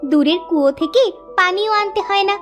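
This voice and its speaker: Woman voicing a very high, sweet village girl. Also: normal speed, fairly bright tone, soft background music. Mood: cheeky. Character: young village sisters, dutiful, cheerful, hardworking girls. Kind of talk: cartoon moral story voice acting.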